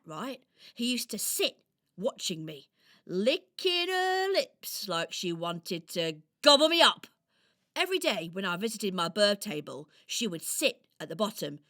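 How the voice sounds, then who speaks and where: A woman's voice: mid-range voice, bright, forceful tone, exaggerated voice. A woman playing a cheeky, blustering but kind-hearted robin guarding a garden in a children's audio drama, brash and punchy.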